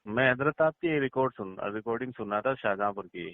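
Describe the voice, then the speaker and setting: A man's voice: mid-range voice, expressive, fast, slight background noise. Deferential male caller on a tinny phone line. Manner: deferential, polite, probing. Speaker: caller questioning a cleric. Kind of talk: respectful phone inquiry. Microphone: phone call.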